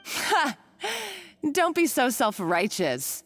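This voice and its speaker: A woman's voice: fairly high pitch, huge pitch swings, slow, fairly bright tone, full character voice. An actress playing a domineering, condescending villainess who taunts her accomplice in a scripted video game scene. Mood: mocking, accusing. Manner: smug, condescending, theatrical. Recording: game music underneath.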